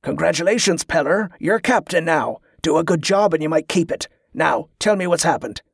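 Audiobook nobleman, played by a male narrator: hot-tempered, commanding, growled demands, higher-pitched delivery. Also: animated, normal speed, vocal fry, breathy edge, no room sound, studio quality, heavily acted. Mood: brusque, commanding. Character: furious, imperious nobleman giving curt orders.

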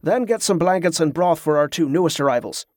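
A man's voice: on the high side, brisk, a little mellow, no echo, clean studio sound, natural voice. Man voicing a formal sailing-ship captain. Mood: commanding. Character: male ship's captain, formal, awkward but commanding.

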